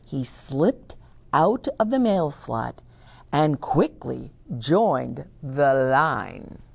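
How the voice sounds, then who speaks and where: A middle-aged woman reading a picture book aloud to children, expressive and stopping to explain words. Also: extremely low pitch, drawn-out words, vocal fry. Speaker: children's story reader.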